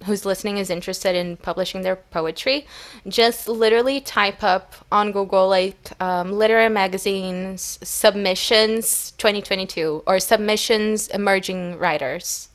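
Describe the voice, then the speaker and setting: A woman's voice: medium pitch, normal speed. A young woman from Brazil, a native Portuguese speaker, chatting casually as a podcast co-host, rambling with many fillers and restarts. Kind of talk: casual chat.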